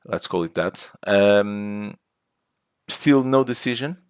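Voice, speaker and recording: A man's voice: lowish voice, slow pace, smooth, dry recording, studio quality. A man co-hosting a casual podcast chat, talking hesitantly with many fillers and frequent laughter. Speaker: podcast co-host. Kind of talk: casual chat about motor racing.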